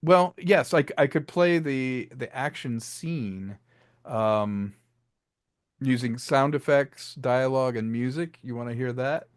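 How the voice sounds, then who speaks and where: A man's voice: mid-range voice, slow pace, clean tone, no room sound. Man explaining his craft, close dry sound. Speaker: interview guest, filmmaker and audio drama creator. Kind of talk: casual, explanatory interview talk.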